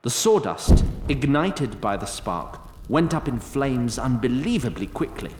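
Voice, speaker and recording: A man narrating a scripted fantasy story in a measured, literary way. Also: medium pitch, big pitch swings, a little mellow. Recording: roomy sound, faint noise.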